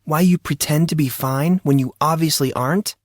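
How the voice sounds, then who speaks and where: Man playing a flustered, defensive teenage boy, higher-pitched. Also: normal speed, dry recording, clean studio sound, close to an everyday voice. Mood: exasperated. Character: flustered, defensive fourteen-year-old boy.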